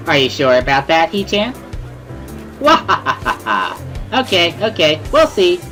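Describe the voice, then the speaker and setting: A woman voicing a bubbly, excitable, teasing teenage schoolgirl in an amateur visual novel reading. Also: deep voice, a little slow, faint music behind, full character voice. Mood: cheerful, teasing. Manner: playful, exclamatory, repeats words, laughs loudly.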